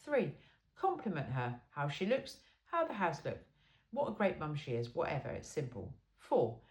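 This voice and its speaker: A woman's voice: low-pitched, sing-song, normal speed. A woman reading a self-help book aloud in an informal, blunt and emphatic way.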